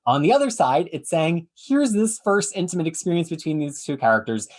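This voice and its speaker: A man hosting a podcast, measured as he lays out the question and hands it to the panel. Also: on the high side, sing-song. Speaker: podcast host. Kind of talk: moderating a discussion.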